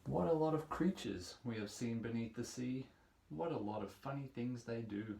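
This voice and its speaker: A man reading a rhyming picture book aloud for young children in a measured, playful storytelling manner. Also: medium pitch, vocal fry, dark tone. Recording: roomy echo, distant microphone.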